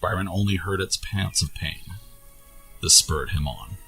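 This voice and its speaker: A man's voice: low-pitched, measured pace, bright, forceful tone, husky, soft background music. A man reading scripted fantasy story narration as the narrator of an audio tale.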